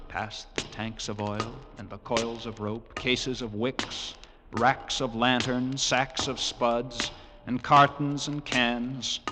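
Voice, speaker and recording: Slow, brooding lighthouse keeper. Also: male voice, mid-range voice, heavy background noise. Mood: brooding, hypnotic. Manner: brooding, dramatic, vividly descriptive storytelling. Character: grim lighthouse keeper recounting a nightmare tale. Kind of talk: 1940s radio drama narration. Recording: vintage radio broadcast with music underneath.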